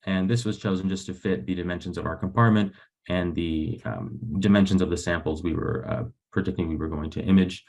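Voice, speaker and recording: Young male researcher, very low gravelly register, explaining technical slides on a webinar. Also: steady tone, brisk, a little mellow. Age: young adult. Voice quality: low, creaky voice. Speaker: graduate student researcher presenting. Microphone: video call.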